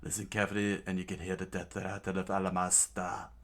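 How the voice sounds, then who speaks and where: A man's voice: low-pitched, monotone, fast, close to an everyday voice. A man voicing a smug, scheming middle-aged male villain who drawls his lines in a cold, gloating tone.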